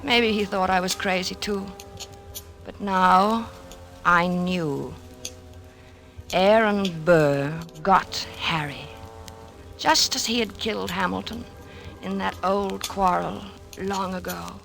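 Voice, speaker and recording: An actress playing a haunted, distraught widow on the edge of hysteria, in intense 1940s radio drama style. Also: female voice, mid-range voice, fairly even intonation, slow pace, vocal fry, long pauses, a bit bright. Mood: haunted, brooding. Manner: intense, dramatic, brooding narration. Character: distraught widow haunted by her husband's death, growing hysterical. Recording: 1940s radio recording with music.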